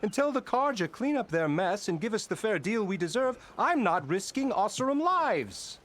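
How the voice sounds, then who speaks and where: Pompous, self-important boss; slick and flattering, pitch rides high and all over. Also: male voice, moderate pace, exaggerated voice. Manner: grandiose, flattering, slyly evasive. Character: pompous blustering middle-aged male labor boss. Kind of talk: video game dialogue.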